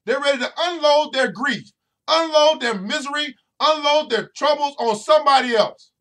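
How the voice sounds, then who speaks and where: A man's voice: very high voice, normal speed, sharp tone. A man hosting a motivational podcast, preaching to his listeners directly and repeating phrases over and over for emphasis. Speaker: financial educator and podcast host.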